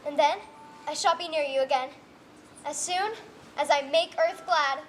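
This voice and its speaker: A woman's voice: high voice, normal speed, close to an everyday voice. A young female performer playing an earnest, fanciful orphan girl in a stage play, with quaint, wide-eyed phrasing. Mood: fervent, hopeful. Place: stage in a roomy hall. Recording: noisy, echoey stage performance recording.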